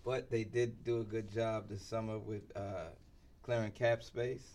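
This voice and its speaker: A middle-aged man. He talks conversationally as an interview guest, pausing often as he works through each thought. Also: fairly low pitch, little pitch movement, a little mellow. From New York City, United States. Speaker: interview guest, basketball team insider and former coach.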